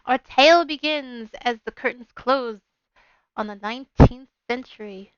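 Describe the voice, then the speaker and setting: A woman's voice: a little high, a little slow, clear, resonant tone, dry recording, lo-fi sound. A woman with a bubbly, playful manner, talking as a game streamer on a livestream. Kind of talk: casual livestream chat with read-aloud game narration. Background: game music.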